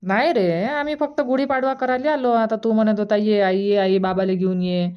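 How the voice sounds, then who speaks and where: Woman acting an older village grandmother, dull recording, medium pitch, rural Vidarbha Marathi accent, plain-spoken, cartoon comedy. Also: moderate pace, clean tone, lightly acted. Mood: declining, explaining. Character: practical elderly village mother and grandmother. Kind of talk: cartoon comedy dub dialogue.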